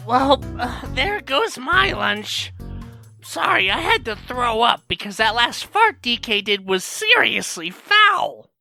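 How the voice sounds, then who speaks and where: A woman's voice: on the high side, big pitch swings, takes it slowly, vocal fry, sharp tone, soft background music, exaggerated voice. A woman voicing a young male monkey sidekick, cheeky and chatty, in a cartoon audio drama.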